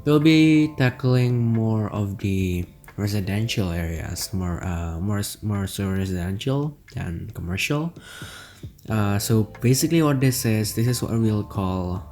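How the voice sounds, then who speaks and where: Low-voiced man. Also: a little slow, a little mellow. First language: Indonesian. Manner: rambling, many fillers and self-corrections. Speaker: gaming channel host. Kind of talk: casual gameplay commentary.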